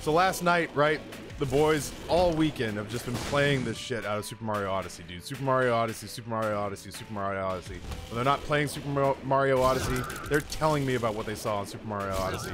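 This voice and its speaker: A man around his thirties tells personal stories on a livestream in a casual, comedic, animated way, with profanity and self-deprecation. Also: mid-range voice. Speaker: live streamer telling personal stories. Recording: music behind the voice. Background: background music.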